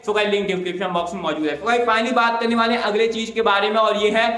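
A young man presenting an energetic cricket news roundup to camera, gesturing with his hands and repeatedly calling out to his viewers. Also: high voice, very fast. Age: young adult. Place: small indoor room with plain wall. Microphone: clip-on lapel mic. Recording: roomy echo.

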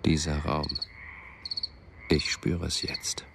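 A haunted, introspective narrator in a radio play. His voice is extremely low and gravelly, held nearly monotone in a sombre manner. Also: slow, heavy background noise, full character voice. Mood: brooding. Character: brooding, haunted male narrator searching for a lost friend. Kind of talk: literary radio drama monologue.

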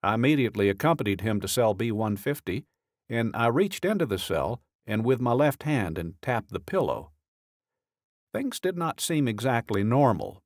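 A man reading a scripted true-crime audiobook in a measured, dramatic storytelling way, close to a studio microphone. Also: medium pitch, a little mellow, no room sound. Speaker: audiobook narrator.

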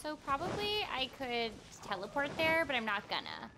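High-voiced, playful young woman streamer. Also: huge pitch swings, moderate pace, background noise. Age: young adult. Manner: playful, giggly, exclamatory. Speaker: game streamer and voice actress. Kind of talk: casual livestream chat. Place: indoor room at home. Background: video game music.

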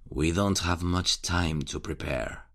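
A man voicing a calm, mocking vigilante killer who speaks slowly and with quiet menace in an ASMR horror roleplay. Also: low voice, a little hiss or hum. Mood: calm commanding. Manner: slow, teasing, quietly menacing.

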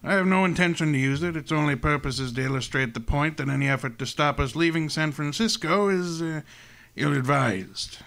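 An actor playing a courtly, pompous criminal mastermind, genial but menacing, with florid formal speech in an old-time radio drama. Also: male voice, on the high side, a little fast, creaky, a bit bright. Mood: politely menacing.

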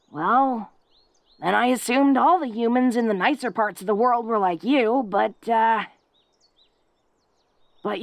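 A woman's voice: on the high side, creaky, dry recording, heavily acted. A woman playing a tough but awkward, tender-hearted young female orc in a romantic audio roleplay, speaking in a folksy, hesitant, earnest way.

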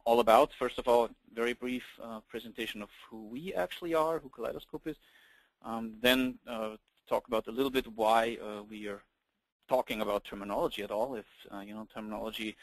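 A middle-aged man with an Austrian German accent presenting a webinar over slides, talking quickly with many ums and uhs. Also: on the low side, no room sound, poor audio. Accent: German-speaking Austrian. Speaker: webinar presenter, company founder. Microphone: computer mic on a webinar call.

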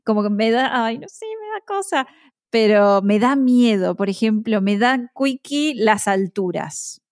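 A woman's voice: fairly high pitch, expressive, measured pace, darkish tone. A woman with an Argentine accent, a podcast guest who explains things with examples and laughs often. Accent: Argentine Spanish. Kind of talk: casual conversation.

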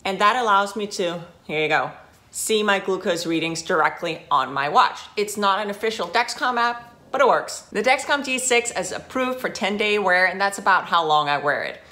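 A woman in her forties from Denmark, a guest talking casually to camera in her living room, friendly and animated. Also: medium pitch, normal speed. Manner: friendly, chatty, animated with hand gestures. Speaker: guest, founder of a website.

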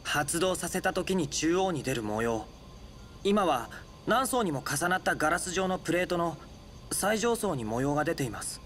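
Earnest, higher-pitched boy researcher. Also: very animated, normal speed, a bit bright, a little hiss or hum. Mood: pensive. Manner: polite, earnest. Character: earnest, polite, thoughtful teenage boy researcher. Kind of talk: anime-style game voice acting. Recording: music behind.

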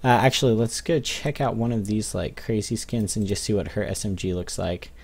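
A man's voice: on the low side, fairly quick, gravelly, darkish tone, slightly rough. Young man hosting a gaming video. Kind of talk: casual game commentary.

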